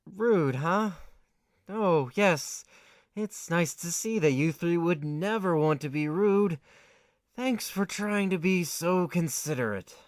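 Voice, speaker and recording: A man's voice: sits high, takes it slowly, no echo, clean studio sound. A man playing an earnest, put-upon young student in an amateur audio play, given to exasperation and sarcasm. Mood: sarcastic. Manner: chatty first-person narration turning to whiny protest. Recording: loud hiss and rain over voice. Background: rainstorm sound effects.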